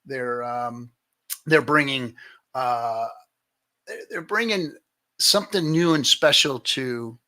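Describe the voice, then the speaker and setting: Bright, forceful male livestream host. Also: medium pitch, slow, long pauses. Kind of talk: casual livestream hangout hosting.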